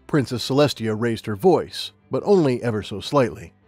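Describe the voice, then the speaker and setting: A man's voice: medium pitch, lively intonation, moderate pace, a little mellow, slightly rough, no echo, faint music behind. A man reading scripted audiobook narration as the narrator of a story.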